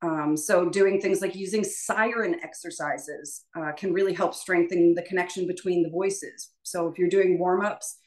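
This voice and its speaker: Informal, tentative woman teacher, lower-pitched voice. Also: fairly even intonation, moderate pace, few pauses. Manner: tentative, self-deprecating, often trailing off. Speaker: voice teacher leading a workshop.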